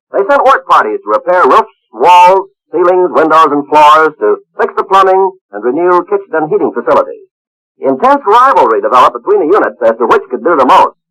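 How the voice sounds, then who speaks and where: Animated male radio narrator, fairly high voice. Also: rough recording. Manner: chatty asides, theatrical emphasis. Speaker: host and narrator. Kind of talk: storytelling scripted radio narration.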